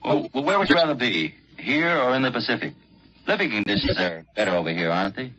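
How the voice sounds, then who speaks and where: A man's voice: medium pitch, animated, fast talker, bright, forceful tone, breathy edge. An American man conducting a chatty 1940s radio interview in a folksy, conversational way. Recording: muffled, slightly noisy 1940s radio.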